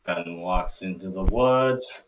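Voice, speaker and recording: Guy reading narration for a cartoon script, fairly deep, a bit distant from the mic. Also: slow, a little hiss or hum.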